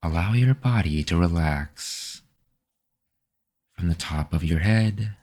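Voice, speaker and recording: A man leading a guided meditation in a calm, measured, soothing manner. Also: sits low. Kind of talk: slow guided meditation narration.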